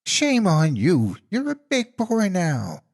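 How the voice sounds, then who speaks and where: Man putting on a shrill, sly old-auntie monster. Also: huge pitch swings, slow, vocal fry, a little mellow, exaggerated voice. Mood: scolding. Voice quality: falsetto. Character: sly hungry female monster posing as an elderly auntie.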